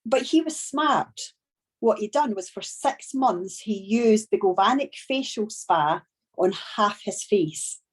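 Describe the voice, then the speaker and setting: A woman from Scotland with a strong Scottish accent, giving a training talk on a video call and telling her story slowly and deliberately. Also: mid-range voice, expressive, slightly rough. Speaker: business trainer.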